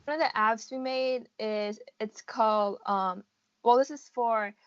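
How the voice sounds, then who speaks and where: A woman's voice: a little high, measured pace, dry recording, highs cut off. A young American woman in her late teens giving casual interview answers, informal and often hedging as she explains. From United States. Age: around 18 to 19. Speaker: interviewee, college student.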